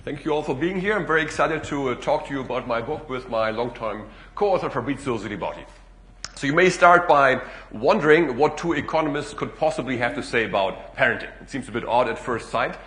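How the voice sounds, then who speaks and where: A man's voice: mid-range voice, brisk. German-accented middle-aged man lecturing in an echoey room. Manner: relaxed, frequent "uh" and "you know" fillers. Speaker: guest speaker, economics professor. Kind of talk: public lecture.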